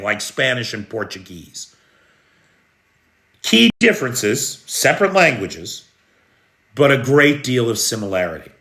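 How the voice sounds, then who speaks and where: A slow-talking American man hosting a live news stream, with a bright, forceful edge to his voice. He takes his time, answering viewers' comments as he goes. His voice rings in an echoey room. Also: medium pitch. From United States. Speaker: news livestream host. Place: room in Kyiv during air alert.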